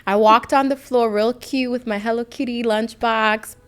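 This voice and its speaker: A woman's voice: on the high side, fairly even intonation, normal speed, smooth. A woman in her thirties from the United States co-hosting a podcast, chatting candidly and informally about her own experiences. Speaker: podcast co-host, nurse practitioner. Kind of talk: casual chat, personal storytelling.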